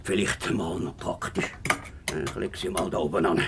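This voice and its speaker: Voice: low voice, steady tone, normal speed, background noise. An actor playing a greedy, gloating big bad wolf in a children's radio play, grumbling to himself. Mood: gloating, smug.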